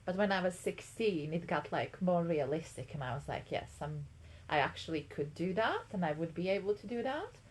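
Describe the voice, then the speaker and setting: A woman's voice: on the low side, expressive, normal speed, husky, low-quality recording. Chatty Latvian-born woman in her twenties. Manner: chatty, lots of ums and likes. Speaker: podcast co-host. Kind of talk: casual chat.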